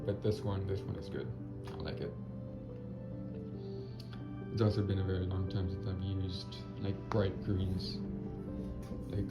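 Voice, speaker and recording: A man with a raspy voice talking casually about his finished spray painting, hesitant with many pauses and fillers. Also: sits low. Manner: hesitant, many ums and restarts. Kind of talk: casual video commentary. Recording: muffled audio with loud music behind. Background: loud background music.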